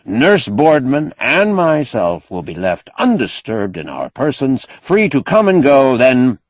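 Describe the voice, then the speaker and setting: A man's voice: mid-range voice, wildly swooping pitch, a little slow, no echo, voice pushed up. A man voicing a wily, indignant, eloquent doctor with pompous, ornate oratory in an audiobook. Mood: pleading, insistent. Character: wily, indignant, eloquent male doctor, master of his house. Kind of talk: audiobook character acting.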